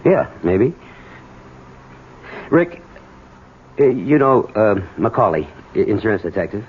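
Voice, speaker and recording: Harried, resentful son, animated delivery. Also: male voice, lowish voice. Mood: uneasy, hesitant. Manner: halting, stammering urgency. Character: desperate, resentful adult son, harried businessman. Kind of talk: 1970s radio drama acting. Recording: dull, noisy old radio broadcast.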